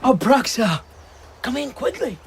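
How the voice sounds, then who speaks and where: An actor playing an earnest, anxious young foreign man in a radio drama, husky and emotionally exposed. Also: very high-pitched, very animated, measured pace, noisy background, full character voice. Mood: eager. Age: young adult.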